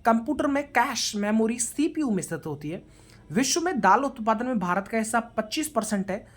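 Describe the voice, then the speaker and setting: A woman's voice: fairly high pitch, animated, a little fast. A woman giving a question-and-answer revision lecture as a coaching teacher, addressing students directly and mixing in English terms.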